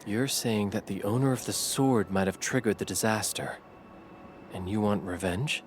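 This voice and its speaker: An actor playing a calm, gently encouraging male companion in a game, with a softly probing manner. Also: on the low side, moderate pace, a little hiss or hum, lightly acted. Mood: gentle, inquiring. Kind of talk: scripted game voice acting.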